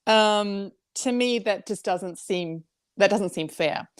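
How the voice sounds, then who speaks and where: A woman from Germany talking over a video call, opinionated and chatty, with a mid-range voice. She laughs often and fills pauses with "um" and "like." The sound is dry and close. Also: medium pitch, smooth, no room sound. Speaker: co-host guest commentator. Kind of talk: casual video call chat.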